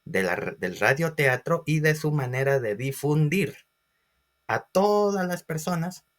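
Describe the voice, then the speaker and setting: A man presenting an informal online class as a teacher, expressive and speaking directly to his listeners. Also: on the high side, measured pace. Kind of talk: informal educational lesson for video.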